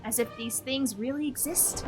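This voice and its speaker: A woman's voice: high-pitched, normal speed, music in the background, natural voice. A woman voicing a genial, earnest, book-loving publisher in a video game. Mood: wistful, genial.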